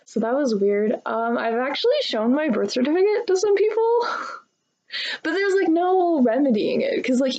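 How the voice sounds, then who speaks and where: A woman's voice: on the high side, darkish tone, highs cut off. Casual young-sounding woman vlogger, rambling and conversational. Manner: rambling, conversational, frequently acts out imagined dialogue. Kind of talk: casual, confessional chat to viewers.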